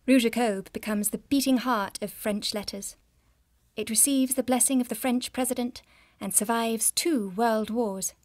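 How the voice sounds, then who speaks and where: A woman's voice: a little high, steady tone, normal speed, a little mellow, no room sound, clean studio sound, natural voice. An actress playing a narrator in an audio drama, a woman who prods and challenges with pointed interjections. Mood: informative.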